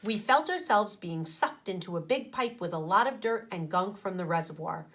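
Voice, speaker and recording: A woman teacher reading a storybook and explaining to children in an expressive, emphatic way. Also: fairly low pitch, moderate pace. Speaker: teacher reading aloud to pupils.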